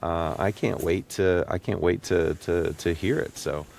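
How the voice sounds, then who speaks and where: A middle-aged man hosting a casual interview, often halting and repeating words as he gathers his thoughts. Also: steady tone, creaky, darkish tone. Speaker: podcast host and interviewer. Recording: low rumbling background noise.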